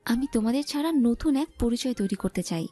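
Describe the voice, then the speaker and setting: A woman's voice: a little high, expressive, a little fast, mellow, soft background music, pitched above the performer's own voice. A woman voicing an eager, affectionate and independent young daughter in an audio story. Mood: earnest, affectionate. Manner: affectionate, earnest.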